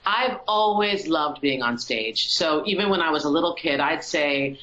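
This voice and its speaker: A woman from Colorado, a musician, talking as an interview guest over a video call in a warm, reflective way, with frequent ums. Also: low-pitched, animated, normal speed, echoey room, muffled recording. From Colorado, USA. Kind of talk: casual interview conversation.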